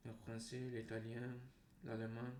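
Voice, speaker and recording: A man from Brazil with a Brazilian accent and Portuguese as his native language, talking unscripted to viewers in a halting, repetitive way as a language vlogger. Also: mid-range voice, completely flat delivery, a little mellow. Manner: halting, repeats words and phrases. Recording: roomy echo, faint background noise.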